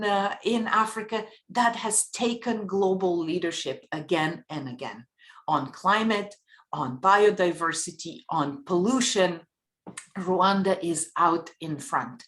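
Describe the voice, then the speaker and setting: A woman's voice: medium pitch, fairly bright tone. Earnest woman on a policy panel. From Bulgaria. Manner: earnest, measured speech with rhetorical questions. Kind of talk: panel remarks.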